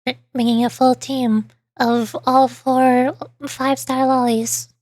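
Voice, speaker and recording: A woman's voice: high voice, level tone, slow pace, darkish tone, no echo. A woman streamer giving casual, chatty live commentary on a game in a playful way. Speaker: VTuber streamer. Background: faint music.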